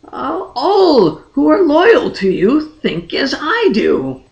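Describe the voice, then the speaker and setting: A man's voice: very high-pitched, wildly swooping pitch, slow pace, creaky, echoey room, highs cut off, pitched above the performer's own voice, exaggerated voice. A man voicing a devoted, frank young noblewoman in a fantasy audiobook, earnest, formal and persuasive. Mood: insistent. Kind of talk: dramatic fantasy audiobook character reading.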